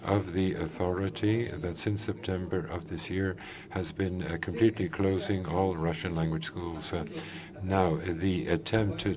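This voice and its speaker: A man with a very low voice delivers a formal statement at a conference, stopping often to fill gaps with hesitant sounds. He is from Ukraine and speaks for a civic group. A crowd murmurs audibly in the hall around him. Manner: halting, frequent uh fillers. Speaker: NGO coordinator speaking at a conference session.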